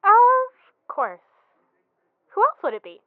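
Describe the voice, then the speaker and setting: A woman's voice: very high voice, wildly swooping pitch, takes it slowly, no room sound, heavily acted. A woman playing a bewitched, loving wife on a phone call in an audio drama, sweet and unnervingly reassuring. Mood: eerily sweet. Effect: phone call filter. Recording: thin, tinny phone line.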